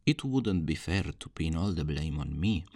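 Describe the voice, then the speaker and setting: A man's voice: expressive, moderate pace, darkish tone, heavily acted. A man playing a cocky, flirtatious thief in a fantasy roleplay, teasing and theatrical, speaking straight to the listener. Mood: teasing, amused. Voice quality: low voice. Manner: teasing, theatrical, smugly self-assured, uses endearments.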